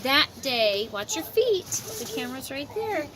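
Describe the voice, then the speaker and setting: A woman reading a children's story aloud outdoors in expressive, deliberate phrasing, with chatty asides. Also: crowd noise. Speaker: storybook reader. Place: outdoors at a park. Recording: noisy outdoor amateur recording, ambient rumble.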